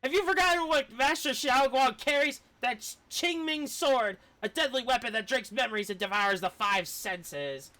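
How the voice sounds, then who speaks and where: Woman doing a bright, scolding little robot. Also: high voice, expressive, normal speed, few pauses. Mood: stern. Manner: accusing, blunt. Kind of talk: game dialogue read aloud in character.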